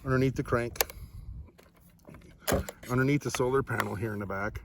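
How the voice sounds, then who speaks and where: A man's voice: mid-range voice, moderate pace, gravelly, noisy background. A man giving a casual, unscripted product review to viewers in a laid-back, rambling, folksy way.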